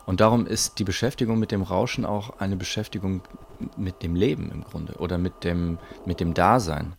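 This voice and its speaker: A man's voice: sits low, steady tone, moderate pace, gravelly, a little hiss or hum. A man musing philosophically in a reflective interview statement.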